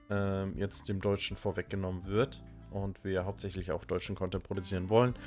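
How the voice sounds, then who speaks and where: A man's voice: on the low side, a little mellow. A man hosting a live stream, chatting casually and thinking aloud with fillers. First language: German. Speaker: streamer and show host. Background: faint music.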